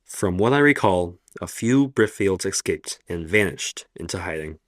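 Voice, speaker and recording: A man playing an elderly, kindly Oxford professor who speaks in a calm, measured, reassuring way in a radio play. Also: low-pitched, dry recording, studio quality. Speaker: professor character.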